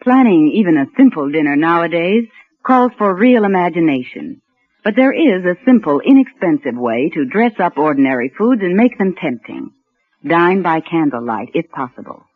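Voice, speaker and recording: A woman's voice: on the low side, very animated, moderate pace. A woman giving scripted household advice as a spokesperson in a 1940s radio commercial. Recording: muffled old radio recording.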